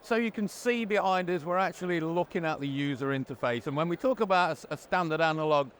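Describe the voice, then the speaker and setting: Higher-voiced man presenting, measured and explanatory. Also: a bit bright. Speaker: presenter and interviewer. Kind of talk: product explainer interview. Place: trade show floor. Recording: faint background noise. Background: faint ambient noise.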